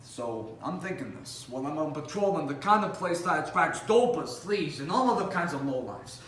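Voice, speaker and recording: A man playing a world-weary, animated police officer telling stories from the beat in a theatrical, conversational way with dramatic pauses. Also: a little high. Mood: animated storytelling. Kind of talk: competitive dramatic monologue performance. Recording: roomy, distant mic with faint noise.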